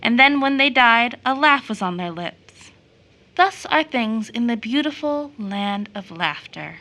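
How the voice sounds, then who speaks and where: Tender, wistful motherly woman played by an actress for a stage-style audio drama; bright, fairly high voice, soothing delivery. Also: lively intonation, measured pace, heavily acted. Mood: storytelling. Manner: soothing, affectionate, storytelling.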